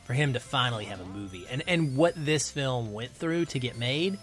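A man's voice: mid-range voice, expressive, normal speed, fairly bright tone. A man talks as a podcast guest in an average male register. He is conversational and informal, stressing points by repeating himself, in the manner of a casual review. There is faint music under his voice. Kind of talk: casual film review chat.

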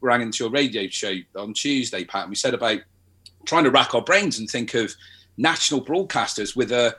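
Forthright man, bright husky voice. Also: mid-range voice, a little fast. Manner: forthright, opinionated, frank. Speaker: panel guest. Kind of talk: radio panel discussion.

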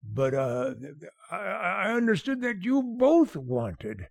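Actor as a husky, high-pitched, blustery older boss. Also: male voice, sing-song, takes it slowly, no room sound, clean studio sound, heavily acted. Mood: avuncular, amused. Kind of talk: old-time radio sitcom acting.

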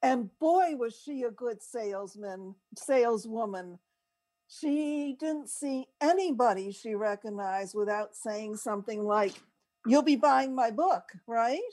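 Older female co-host, very leisurely delivery, higher-than-average pitch, chatty storyteller who wanders off track. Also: slow pace, a little mellow, rough recording. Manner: rambling, easily sidetracked. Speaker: radio show co-host. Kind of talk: casual chat and storytelling.

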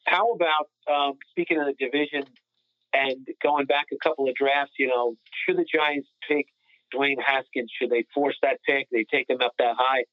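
A man's voice: medium pitch, fairly even intonation, normal speed, no room sound. A man giving emphatic, opinionated analysis in a casual sports podcast discussion. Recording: phone-like narrow-band sound.

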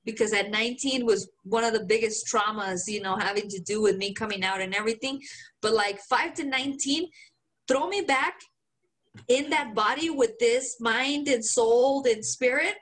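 High-voiced woman cohost, cursing a lot, fired up chat. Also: moderate pace. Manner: swears a lot, animated and emphatic. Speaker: podcast co-host. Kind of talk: casual chat.

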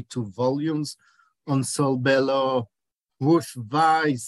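A man in his forties with an Israeli accent, a documentary director answering interview questions in a halting way, often pausing to search for words. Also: medium pitch, no room sound.

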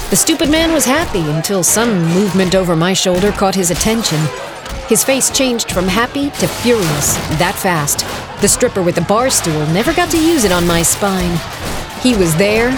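Actress as brash, wry heroine over music. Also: female voice, mid-range voice, close to an everyday voice. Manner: wry, dramatic first-person telling. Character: brash half-fae heroine, defiant, wry, gun in hand. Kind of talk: full-cast audio drama narration and dialogue.

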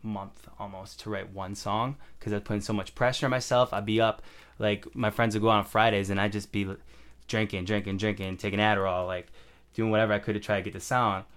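A man's voice: lowish voice, flat delivery, fairly quick, fairly bright tone, clean tone. A young man, a rapper guest, talking in a loose, rambling way full of fillers. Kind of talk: casual conversation.

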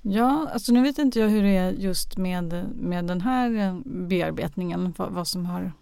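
Creaky-voiced woman, thinking aloud, very dry sound. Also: fairly low pitch, mellow, dry recording. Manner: hesitant, searching for words, thinking aloud. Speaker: radio drama director or producer, interview guest. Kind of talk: conversational interview. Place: close, dry podcast recording.

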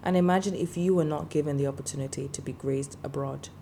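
A woman's voice: extremely low pitch, steady tone, fast, warm tone, a little hiss or hum. A woman who grew up in Denmark, talking passionately and emphatically in a casual conversation, often repeating herself.

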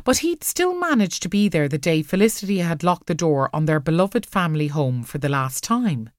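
A woman reading fiction aloud as an audiobook narrator, expressive and measured in her storytelling. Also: sits low, no room sound, studio quality.

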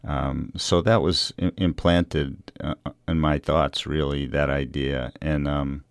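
A man's voice: rumbling low, fairly even intonation, slow, smooth, dry recording. A middle-aged American man, a novelist being interviewed, speaking conversationally in a reflective, hesitant way. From Revere, Massachusetts, USA.

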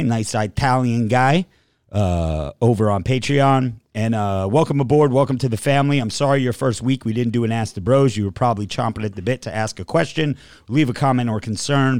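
A man's voice: medium pitch, a little mellow, no echo, clean studio sound. A man hosting a casual livestream podcast, rambling a little and reading out a long list of supporter names. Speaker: podcast host.

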